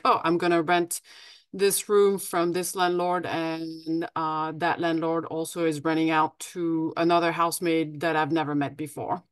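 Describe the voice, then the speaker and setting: A woman's voice: sits low, no room sound. A woman from France with a French accent, giving a friendly, practical presentation over a video call with plenty of fillers and restarts. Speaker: guest presenter.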